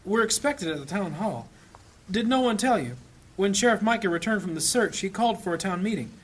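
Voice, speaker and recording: A man voicing a gentle, anxious, pious priest with a husky voice in a fantasy audio drama. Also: expressive, a little fast. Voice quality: high, husky.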